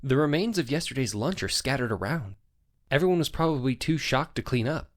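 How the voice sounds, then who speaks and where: A woman voicing a dry, sarcastic young male lawyer with deadpan asides in a video game. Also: rumbling low, brisk. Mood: pensive. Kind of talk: scripted game dubbing. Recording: background music throughout.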